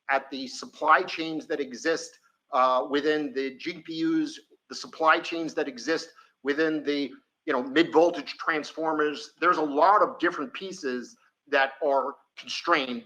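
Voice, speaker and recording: A man speaking as a company executive, answering off the cuff with halting, deliberate phrasing and many pauses. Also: a little high, bright, forceful tone, rough recording. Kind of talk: spontaneous answers on an earnings call.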